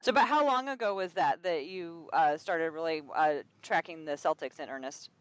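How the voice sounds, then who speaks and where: A woman with a lowish voice hosts a podcast in a casual, friendly way. She hesitates often and pads her lines with fillers. The sound is dry and close. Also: normal speed, clear, resonant tone, no echo. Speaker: podcast host.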